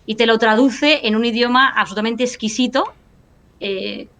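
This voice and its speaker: A woman's voice: a little high, normal speed, bright, forceful tone, slight background noise. A woman lecturer and analyst talking in a casual panel discussion, thinking aloud with restarts and tag questions. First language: Spanish. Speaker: university lecturer and analyst guest.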